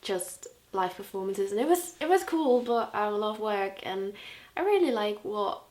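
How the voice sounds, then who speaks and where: A woman's voice: medium pitch, lively intonation, normal speed, a little mellow. Woman, Scouse accent, young adult, casual vlog chat, enthusiastic, lots of fillers. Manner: chatty and enthusiastic, with frequent fillers. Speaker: vlogger and music interviewer. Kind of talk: casual year-in-review chat.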